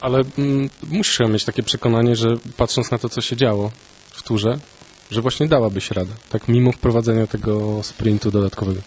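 A man's voice: lowish voice, steady tone, brisk, a little mellow, rough recording. Joking male sports interviewer. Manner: joking, hesitant with filler hums. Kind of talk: conversational interview questions.

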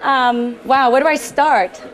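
A woman's voice: high-pitched, takes it slowly. Chatty woman in an echoey store. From Trinidad. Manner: chatty and upbeat, jokes with the crowd. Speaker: chef giving a cooking demonstration. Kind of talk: live in-store cooking demo to an audience. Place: department store demo kitchen.

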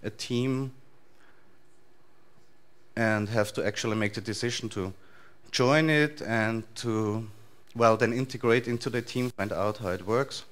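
A man in his thirties with an Austrian accent, giving a relaxed, loosely structured talk to a conference audience in a large lecture hall. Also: fairly low pitch, a little slow. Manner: relaxed, thinking aloud with frequent fillers and restarts. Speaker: conference speaker.

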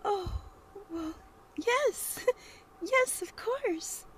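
A woman playing a naive, smitten young lady, flustered, breathy and girlish, in a horror radio drama. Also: very high-pitched, animated, extremely slow, slight background noise, full character voice.

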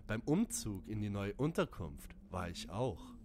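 An actor playing a menacing, deranged obsessive fan with theatrical, drawn-out delivery in an audio drama parody. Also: male voice, fairly low pitch, big pitch swings, a little mellow, slight background noise. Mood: creepy, menacing.

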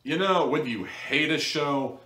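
A man's voice: fairly high pitch, unhurried, sharp tone. A man in his thirties giving a casual, blunt and sarcastic review to camera, sounding exasperated. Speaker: audio drama reviewer, host. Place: living room, indoors. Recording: echoey room, distant microphone.

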